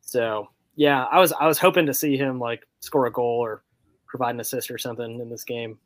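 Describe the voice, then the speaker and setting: Male podcast panelist, average pitch, informal and slightly tentative sports talk, dry close sound. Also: fairly even intonation, a little fast, dry recording. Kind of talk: casual sports chat.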